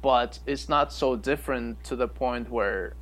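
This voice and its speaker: A man from Kyrgyzstan, a native Kyrgyz speaker, co-hosting a podcast and explaining things at length with thoughtful pauses. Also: mid-range voice, a bit bright, low-quality recording. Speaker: podcast co-host. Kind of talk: casual chat.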